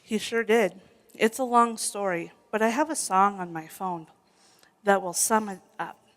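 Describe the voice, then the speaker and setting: Puppet-show part: patient storyteller played by a woman, medium pitch, simple explanatory delivery. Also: measured pace, close to an everyday voice. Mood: patient, solemn.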